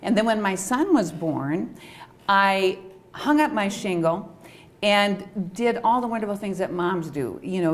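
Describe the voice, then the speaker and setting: A middle-aged woman from Minnesota with a slightly low voice talks casually to a group. She is enthusiastic and emphatic, drawing out the words she wants to stress. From Minnesota, United States. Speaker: political discussion panelist. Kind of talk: casual personal introduction to a group.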